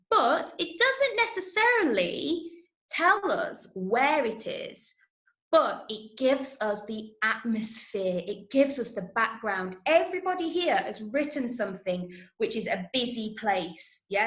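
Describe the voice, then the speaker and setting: A woman with an English accent and a fairly high voice leads an online lesson for schoolchildren. She gives simple step-by-step instructions and encourages pupils by echoing their answers with praise. The sound is noticeably echoey, as in a bare room. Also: big pitch swings, unhurried, a bit bright. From Manchester, UK. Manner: clear step-by-step instructions to children. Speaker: drama workshop teacher.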